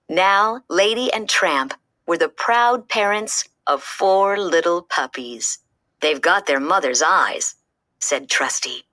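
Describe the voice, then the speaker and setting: A woman reads a children's story aloud in a lowish, bright voice. Her delivery is lively and expressive, moving freely up and down in pitch, and the recording is close and dry. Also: no echo.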